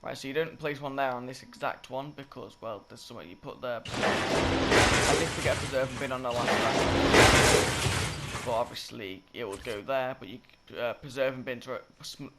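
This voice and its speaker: A croaky, slightly sick young man rambling through a game-building tutorial, often correcting himself. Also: mid-range voice, moderate pace. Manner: rambling, self-correcting, filler-heavy. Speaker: gaming tutorial creator. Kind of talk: casual gameplay tutorial commentary. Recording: loud game noise behind. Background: game sound effects and clicks.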